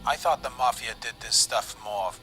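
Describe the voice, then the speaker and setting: A man's voice: medium pitch, moderate pace, bright, forceful tone, lightly acted, rough recording. A man playing a skeptical, mocking squad member in a fan audio drama. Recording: music clearly under the voice.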